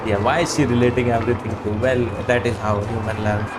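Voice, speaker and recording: A man's voice: medium pitch, flat delivery, fast talker. A man doing casual live game commentary in short offhand asides as he streams. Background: game music.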